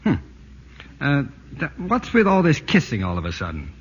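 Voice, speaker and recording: An actor playing an exasperated, wisecracking husband in a 1940s radio drama, recorded on an old broadcast. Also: male voice, fairly high pitch, wildly swooping pitch, moderate pace, slightly rough, full character voice. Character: harried husband building a house, middle-aged. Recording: old radio broadcast with long reverb, faint hiss.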